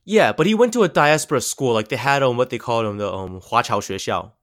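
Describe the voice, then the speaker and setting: A man's voice: medium pitch, fast talker, no echo, clean studio sound. A man in his twenties, raised in the United States, talking as a guest in a casual interview, loose and filled with "like" and "um". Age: 20s. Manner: relaxed, heavy use of "like" and "um".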